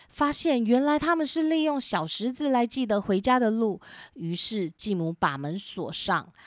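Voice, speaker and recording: A woman's voice: mid-range voice, no echo. Woman storyteller, dry close sound. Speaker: storyteller, host. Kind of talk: scripted children's story narration.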